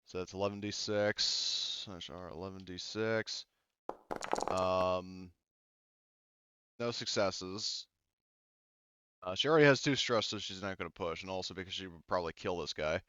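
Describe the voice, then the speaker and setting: A man's voice: low voice, measured pace, long pauses, pushed and bright, smooth, dry recording, highs cut off. A man serving as game master of a tabletop game, patiently checking rules and rolls with his players. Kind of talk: running a tabletop game over voice chat.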